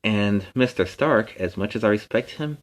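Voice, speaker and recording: A man takes on the role of a friendly, earnest superhero hosting an online story time for children. His voice sits fairly low. He explains things gently and informally, like a mentor talking to young viewers. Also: lively intonation, normal speed, close to an everyday voice. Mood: earnest, reassuring. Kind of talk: informal talk to an online audience.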